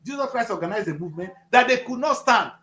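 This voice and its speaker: A man's voice: sits high, lively intonation, quick pace, sharp tone, roomy sound. A Nigerian man in his fifties, speaking as a studio guest with a preachy, emphatic manner, repeating phrases for effect. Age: 50s. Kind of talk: passionate political talk.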